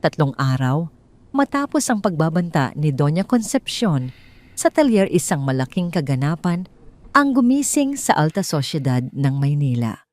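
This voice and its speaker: A Filipino woman with a slightly low voice narrates a scripted drama. She delivers it as a storyteller, telling the tale in the third person. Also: sing-song, normal speed, a little mellow.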